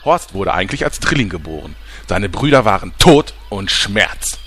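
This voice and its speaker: A scheming, smarmy flatterer acted by a man in a comic fantasy radio play. He talks in grandiose salesman patter, low and very animated, over slight background noise. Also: normal speed. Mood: flattering, grandiose. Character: smarmy, scheming male ex-journalist turned flatterer. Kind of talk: parody fantasy audio drama.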